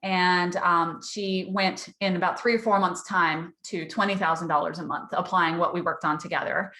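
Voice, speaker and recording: A woman's voice: fairly low pitch, steady tone, normal speed, bright, forceful tone, reverberant. A woman hosting a casual webinar for dog trainers, chatty and upbeat as she tells client success stories, her voice wearing out. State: losing her voice. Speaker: dog trainer business coach, webinar host.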